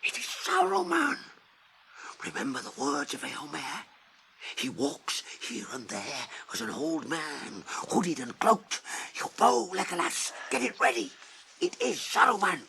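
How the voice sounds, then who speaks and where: An actor, a man, playing a fierce, suspicious dwarf warrior with a gravelly, emphatic delivery in a 1980s radio drama. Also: a little high, huge pitch swings, a little slow, pushed and bright, exaggerated voice. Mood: wary. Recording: faint noise, old radio broadcast.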